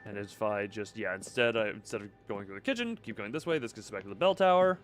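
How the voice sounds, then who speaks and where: Animated man streaming a game. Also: lowish voice, fast talker, faint music behind. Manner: playful, quirky word choices. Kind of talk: casual live gameplay commentary, thinking aloud.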